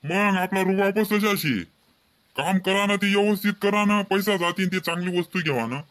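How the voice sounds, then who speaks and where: A man's voice: high-pitched, normal speed, fairly bright tone. A man voicing a proud, practical farmer father in a comedy cartoon, plain-spoken and directing, with an Ahirani accent.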